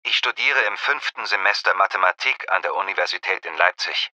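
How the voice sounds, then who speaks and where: A man's voice: low-pitched, fairly quick, sharp tone, no room sound. A man playing a young, matter-of-fact student tutor in a scripted language-lesson dialogue. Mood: businesslike. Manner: businesslike, obliging.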